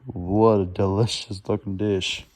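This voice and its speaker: A man with a soft, mellow voice reads a children's storybook aloud at a slow pace. His delivery is laboured and halting, and he often stumbles and goes back to correct a word. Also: on the low side, little pitch movement.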